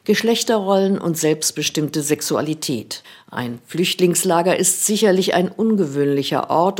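Woman radio critic, low register, measured scripted read. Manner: calm, measured reading. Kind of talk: scripted radio book review.

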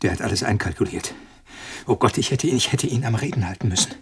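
An actor in a radio drama playing a cornered male scientist, agitated and halting, repeating himself as he panics and pleads. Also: lowish voice, slightly rough. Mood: desperate. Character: cornered, panicking male molecular biologist pleading with his tormentor.

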